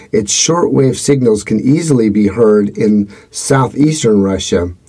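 A man hosting a podcast, reading a scripted explanation in an earnest, measured way. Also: mid-range voice, dark tone, breathy edge. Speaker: podcast host.

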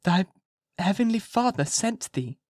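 A narrator voicing a troubled, earnest young mother in an audiobook, pleading with slow, solemn emphasis. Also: mid-range voice, big pitch swings, no room sound, clean studio sound, voice pushed up. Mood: urgent, earnest. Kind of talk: dramatized audiobook character voice, archaic speech.

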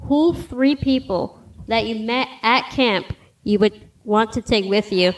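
A woman's voice: a little high, rough recording. A young woman hosting a casual podcast chat, playful and teasing. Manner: playful, teasing, quick reactions. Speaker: podcast host.

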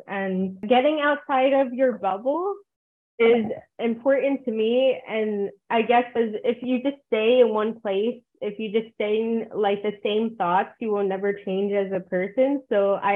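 A woman in her twenties from New Jersey, a podcast guest chatting in a hesitant, soft-spoken way and trailing off often. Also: medium pitch. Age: 20s. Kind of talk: casual interview chat. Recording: tinny phone-like call audio.

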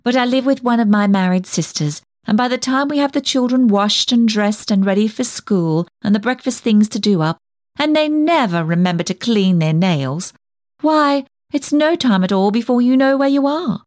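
An actress playing a snobbish, chatty village dressmaker and gossip in a comic monologue, fussy and full of insinuation. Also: female voice, on the high side, expressive, moderate pace, a little mellow, no room sound, studio quality, lightly acted. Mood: chatty, ingratiating. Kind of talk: comic dramatic monologue reading.

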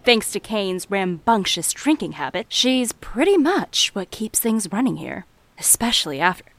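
An actress playing a narrator in an audio drama, addressing the listener in a confiding, theatrical way. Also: female voice, mid-range voice, big pitch swings, moderate pace, fairly bright tone, no echo. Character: intimate female storyteller who addresses the listener directly.

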